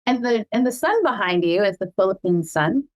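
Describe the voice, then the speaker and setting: A woman's voice: medium pitch, animated, brisk. A middle-aged woman whose first language is Cebuano, hosting a podcast in a chatty, proud way and laughing often. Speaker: podcast host. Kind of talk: casual family chat.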